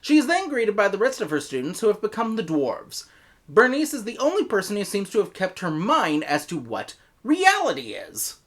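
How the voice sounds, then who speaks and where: A woman with an American accent giving a scripted review in an animated manner, with bursts of mock-exasperated emphasis. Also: medium pitch, normal speed. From United States. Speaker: audio drama reviewer.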